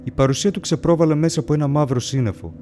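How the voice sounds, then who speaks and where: A man's voice: on the high side, natural voice. A man acting a haunted, feverish wanderer who tells his own horror story in a solemn, brooding, literary way. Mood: anxious, tense. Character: haunted, feverish male wanderer lost among ancient graves. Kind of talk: dramatic first-person horror story narration. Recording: loud music under the voice. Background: music.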